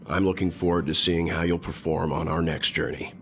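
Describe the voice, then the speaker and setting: An actor playing a calm, seasoned male traveler who speaks steady, measured praise to a younger companion in a game. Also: low-pitched, creaky, faint music behind. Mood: encouraging. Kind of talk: game voice acting.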